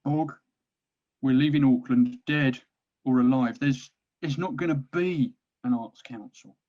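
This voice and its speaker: A man's voice: medium pitch, unhurried, close to an everyday voice. A man playing a weary orc war band captain rallying his crew in a fantasy comedy table read, on dull audio. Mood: urgent, pleading.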